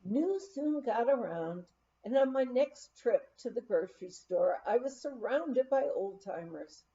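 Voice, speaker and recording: A woman reads a memoir aloud as scripted audiobook narration, with a measured storytelling delivery. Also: a little high, expressive, dark tone, highs cut off. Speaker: audiobook reader.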